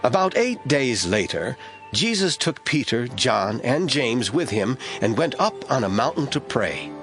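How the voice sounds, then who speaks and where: A man reading scripted Bible narration as an expressive storyteller for an audio drama. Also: medium pitch, a little slow, music in the background.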